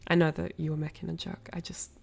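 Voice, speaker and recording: Gravelly, sniffly woman chatting on stream. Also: sits low, flat delivery, fast talker, darkish tone. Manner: rambling, apologetic. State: ill with a cold, sniffly, coughing. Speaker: livestream host. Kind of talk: casual livestream chat with viewers.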